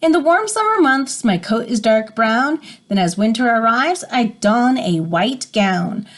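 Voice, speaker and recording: A woman's voice: on the high side, animated, a little slow. A woman voicing a stealthy, fearless little weasel, a proud hunter boasting in rhyming storybook verse. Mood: confident.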